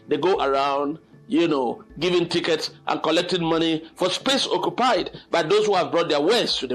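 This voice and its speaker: An older man hosting an informal storytelling session, reflecting aloud in an expressive, dramatic, exclamatory way. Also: on the high side. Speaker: storyteller host.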